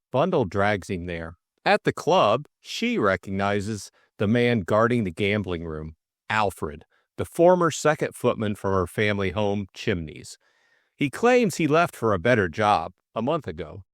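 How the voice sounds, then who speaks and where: A man's voice: on the low side, very animated, measured pace, no room sound, clean studio sound. A man reading scripted story narration as an audiobook narrator.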